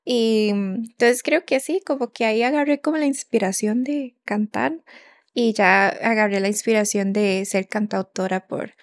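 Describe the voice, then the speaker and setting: A young woman raised in Canada, chatting casually on a podcast as co-host, with lots of fillers and quick laughs. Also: mid-range voice, dry recording, studio quality.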